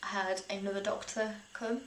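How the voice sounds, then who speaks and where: A woman's voice: mid-range voice, steady tone, moderate pace. A young British woman telling personal stories in a casual, chatty, informal way, as a vlogger would. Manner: chatty, informal, with small laughs through sentences. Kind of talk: casual personal storytelling to camera. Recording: roomy echo, faint background noise.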